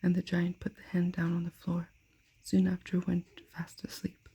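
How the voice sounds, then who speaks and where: A woman softly whispering a fairy tale aloud, as in an ASMR reading. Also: lowish voice, completely flat delivery, moderate pace. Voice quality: breathy. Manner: soft unvoiced whisper. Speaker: storyteller.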